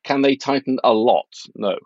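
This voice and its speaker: A man's voice: mid-range voice, normal speed, a little mellow, no echo, lo-fi sound. A middle-aged man from Norway talking casually and analytically as an interview guest, blunt and opinionated in an informal way. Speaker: financial journalist and author, interview guest.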